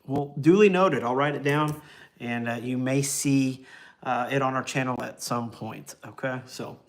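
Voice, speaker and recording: A man's voice: medium pitch, unhurried. A man of forty, chatting casually and rambling with lots of ums while hosting a travel livestream. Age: 40s. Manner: rambling and easygoing, many ums and uhs, chuckles.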